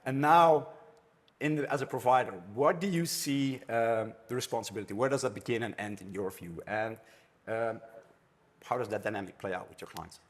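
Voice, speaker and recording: Dutch guy running a panel at a conference, mid pitch, chatty, keeps restarting bits of sentences. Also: moderate pace. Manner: chatty, restarts phrases often. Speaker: panel moderator. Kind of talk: moderating a live conference panel. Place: conference room with an audience.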